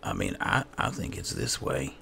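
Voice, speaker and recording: A man doing roleplay commentary over a video game, halting and musing to himself as he plays. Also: very deep voice, wildly swooping pitch, vocal fry, sharp tone, slight background noise. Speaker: gamer narrating in character as he plays. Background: game music.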